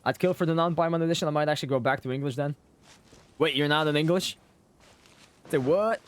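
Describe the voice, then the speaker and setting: A man's voice: a little high, fairly quick, a little hiss or hum. A man giving casual, playful live gameplay commentary as a streamer, often repeating his phrases. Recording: game music behind the voice. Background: video game music.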